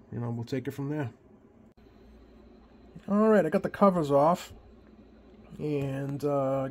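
Halting man in a noisy recording. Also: mid-range voice, darkish tone. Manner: halting, hesitant, unscripted delivery. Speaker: radio repair hobbyist presenter. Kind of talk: casual hands-on demonstration talk. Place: indoors at a workbench. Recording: clear background noise.

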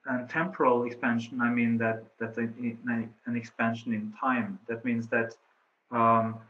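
A middle-aged man with a Norwegian accent, a researcher answering questions in an online talk, halting with many fillers and restarts. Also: mid-range voice, little pitch movement. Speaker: presenting researcher. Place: office room indoors. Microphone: laptop webcam on a video call. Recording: slightly dull video call audio.

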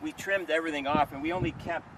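A man's voice: a little high, fairly even intonation, quick pace. A man guiding a wine tasting in a vineyard, explaining and joking with the group. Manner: jokey, explanatory. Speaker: winery tour guide. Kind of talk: guided tasting talk. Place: outdoor vineyard. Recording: distant, roomy, noisy camera audio.

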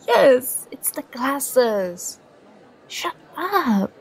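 Give this to a young woman acting as a nerdy, affectionate girlfriend in an ASMR roleplay. The voice is breathy and fairly high, with a lot of vocal fry. She speaks slowly and haltingly, bashful and playfully protesting. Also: exaggerated voice, low-quality recording.

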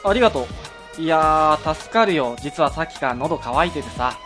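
A high, lively voice of an easygoing coworker, played by a man who talks casually and never notices the scheming around him. Clear music plays under him, as in a comedy radio drama. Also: moderate pace. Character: friendly, oblivious male office coworker.

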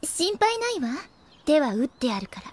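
A woman's voice: high-pitched, sing-song, moderate pace, background noise. A woman voicing a cute, perky teenage magical-girl princess in an anime, sweet on the surface and secretly ruthless. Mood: casual. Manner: cutesy, perky, girlish. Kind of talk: anime voice acting.

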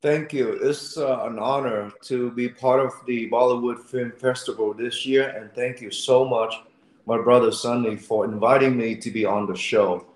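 A man's voice: medium pitch, steady tone. Tired man from Vietnam, roomy echo. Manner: friendly, halting with fillers. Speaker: guest, actor and fight choreographer. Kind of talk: interview answers over video call. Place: room at home. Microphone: video call webcam.

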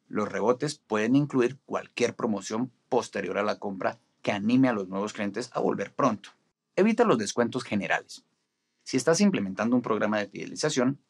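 Low, gravelly-voiced male marketing instructor. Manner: steady, instructive delivery with gestures. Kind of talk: scripted explainer to camera. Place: studio in front of a green screen.

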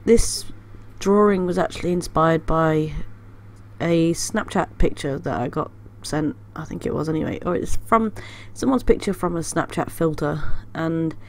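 A woman's voice: sits low, unhurried. A young woman hosting her art channel, talking casually with a congested voice from a cold, with many ums and restarts. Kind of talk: casual vlog chat.

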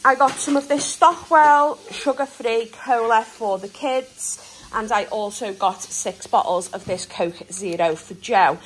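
A chatty British woman in her thirties rambles through her grocery haul, often laughing as she goes. Her voice sits a little high. It is filmed on a handheld phone in a home kitchen, with faint hiss behind her. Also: unhurried, low-quality recording. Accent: British English. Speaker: vlogger. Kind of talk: casual grocery haul vlog. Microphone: handheld phone camera.

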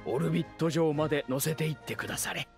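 A man's voice: fairly high pitch, quick pace, lightly acted. A man voicing a pompous, courtly elder forest guardian in a game, with archaic, dignified phrasing. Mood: courteous. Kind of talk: Japanese anime game acting. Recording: faint music behind.

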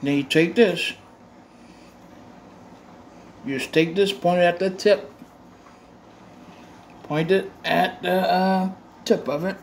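A man's voice: sits high, fairly even intonation, a little slow, pauses often. A man doing a casual home review vlog, rambling and restarting his phrases as he films on a handheld phone. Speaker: cigar vlogger. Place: home room with bare walls. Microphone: phone held while filming. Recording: echoey room, faint room noise.

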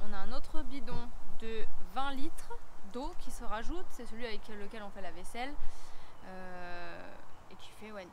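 Woman chatting outdoors over clear noise. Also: a little high. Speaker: travel vlogger. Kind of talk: casual vlog chat. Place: outdoors in bush by a car.

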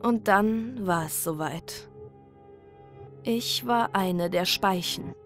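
A woman narrating a scripted first-person horror story in a measured, dramatic manner. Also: mid-range voice, faint music behind.

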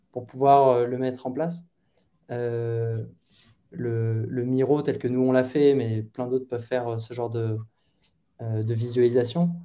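A man in his thirties speaks over a headset on a video call from his bedroom. His voice is very dark and mellow. He answers at an easy conversational pace, hesitating and restarting as he thinks. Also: mid-range voice. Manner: thoughtful, hesitant with many fillers and restarts. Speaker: guest product manager. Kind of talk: casual interview answers. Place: bedroom at home.

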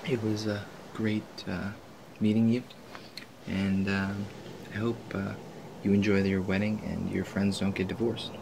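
A man performing a casual ASMR roleplay as a laid-back island beach drinker, rambling and halting as he chats. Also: on the low side, level tone, creaky, noisy background.

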